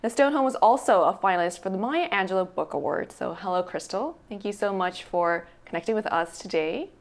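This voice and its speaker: A woman hosting a news talk program, reading a scripted guest introduction in a smooth, polished manner. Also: medium pitch, expressive, fairly quick.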